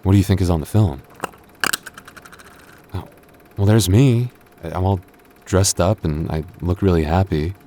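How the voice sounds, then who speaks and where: A man playing a devoted, earnest young boyfriend in an intimate audio roleplay, speaking haltingly with stammers. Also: low-pitched, background noise. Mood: curious, amazed. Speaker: voice actor.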